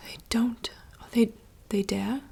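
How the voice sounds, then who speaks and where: A woman voicing a wistful young princess in a soft, slow, poetic whisper. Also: fairly high pitch, fairly even intonation, creaky, slightly rough, full character voice. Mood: yearning. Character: wistful young princess longing for wild woods. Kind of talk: whispered monologue reading.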